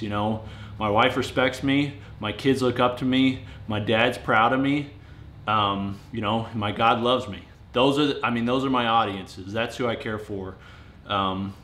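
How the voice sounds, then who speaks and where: A man's voice: mid-range voice, a bit bright. Late-twenties male vlogger, casual and sincere, roomy garage sound. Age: 20s. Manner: earnest, plainspoken, with fillers and restarts. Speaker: home gym reviewer. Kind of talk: casual vlog talk to camera. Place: home garage gym, indoors. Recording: roomy garage echo, faint noise.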